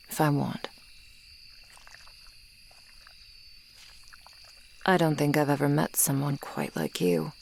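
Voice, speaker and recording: A woman's voice: low voice, vocal fry, slight background noise, exaggerated voice. A woman playing an ageless tsundere swamp monster girl, sardonic and grudgingly kind, in an ASMR roleplay. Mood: softening, shyly touched. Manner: slow, sardonic, haughty but softening. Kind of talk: ASMR roleplay acting, addressed to the listener. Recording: night swamp ambience with insects behind. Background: crickets and insect ambience.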